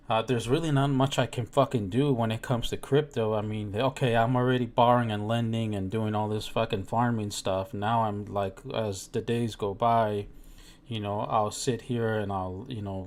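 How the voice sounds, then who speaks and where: A man with a slightly low voice chats casually. He is blunt, swears freely and keeps tagging his points with small questions to check he is understood. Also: darkish tone, slight background noise. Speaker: crypto live stream participant. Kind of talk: casual chat.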